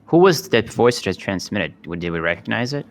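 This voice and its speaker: A man's voice: lowish voice, very animated, moderate pace. A man talking casually as a player in a tabletop roleplaying game. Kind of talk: casual game chat, asking questions.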